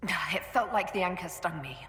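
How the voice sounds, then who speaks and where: An actress playing a composed, resolute female leader of a fantasy order in a video game, speaking in a measured, earnest way. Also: fairly low pitch, a bit bright, lightly acted. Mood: uneasy. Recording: roomy echoing hall, faint background noise.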